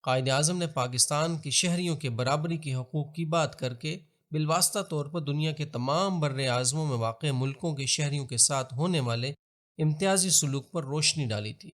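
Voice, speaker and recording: Formal Pakistani male narrator. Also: a little high. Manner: formal, measured, expository. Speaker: documentary narrator. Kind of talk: scripted historical narration.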